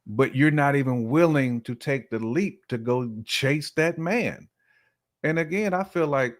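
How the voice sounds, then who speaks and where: A man, middle-aged, talking casually as a podcast guest, explaining his views in a reflective, colloquial way. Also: lowish voice. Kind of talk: casual relationship discussion.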